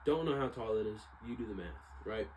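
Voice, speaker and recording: A young American man with a fairly low voice talks in a casual, slangy monologue. He rambles and corrects himself as he goes. There is clear background noise with some room ambience. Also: a little fast, darkish tone, poor audio. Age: young adult. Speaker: vlogger, exercise science student.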